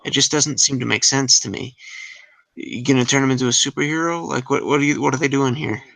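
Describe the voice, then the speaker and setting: A man's voice: mid-range voice, steady tone, a little fast, vocal fry, sharp tone, low-quality recording. A man talking casually as a panelist on a podcast. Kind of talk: casual chat.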